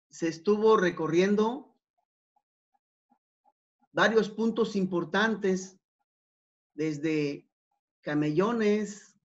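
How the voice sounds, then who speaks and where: A man giving an academic talk over slides, unhurried, with many fillers and repeated words. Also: high-pitched. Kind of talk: conference presentation over slides. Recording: dull, lo-fi online talk.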